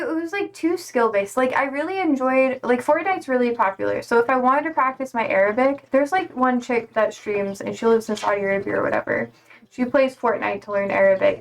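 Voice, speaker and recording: A woman's voice: fairly high pitch, low-quality recording. A young woman streaming live, chatting casually and reading out viewer comments in a slangy, rambling way full of "like".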